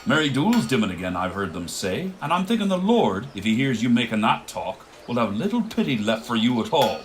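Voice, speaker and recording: An actor playing a hard, impatient village blacksmith, scolding and commanding in a theatrical radio play style. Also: male voice, medium pitch, very animated, fairly quick, a little hiss or hum, lightly acted. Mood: stern, contemptuous. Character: hard, bossy Irish village blacksmith man, impatient taskmaster.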